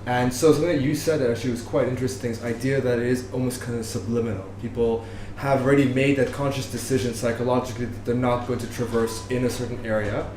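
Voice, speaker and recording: A man from Lebanon with a mid-pitched voice answers at a live panel discussion. He rephrases as he goes, sounding thoughtful. The room is echoey, with faint background noise. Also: steady tone, fast, few pauses. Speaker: panelist, researcher of street art. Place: bar venue, live audience discussion.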